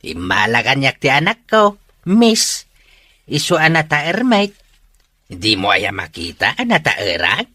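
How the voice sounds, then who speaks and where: A woman voicing a playful, homely old father from an Ilocano village who teases with a drawn-out delivery, in a comic radio drama with a Filipino accent. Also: very deep voice, very animated, pushed and bright, breathy edge, exaggerated voice. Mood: teasing.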